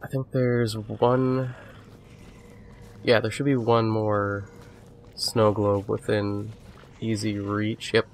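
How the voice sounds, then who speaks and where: Gamer guy with a head cold, low voice, casual rambling walkthrough talk, faint game noise behind. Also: takes it slowly, long pauses. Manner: relaxed, rambling, with restarts. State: has a cold, sniffly. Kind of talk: casual gameplay commentary.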